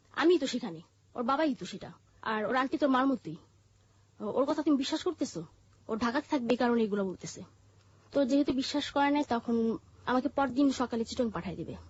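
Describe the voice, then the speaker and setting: A woman with a Bangladeshi accent and a fairly high, husky voice recounts her own life. She speaks haltingly, pausing and repeating phrases as an interview guest. The recording is slightly muffled and lo-fi. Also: animated, a little mellow, no echo. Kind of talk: personal life-story narration in an interview. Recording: muffled, dull lo-fi audio.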